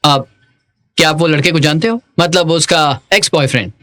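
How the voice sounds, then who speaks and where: Bright, gossipy male colleague. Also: a little high, fairly quick. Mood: needling. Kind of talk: dubbed TV drama dialogue.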